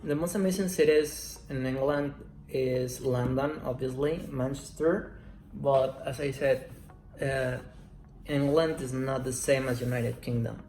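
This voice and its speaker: A man in his twenties, a Spanish speaker, answering interview questions in slow, careful English. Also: medium pitch, steady tone, a little mellow, a little hiss or hum. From Mexico. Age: 20s. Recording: roomy echo, faint music.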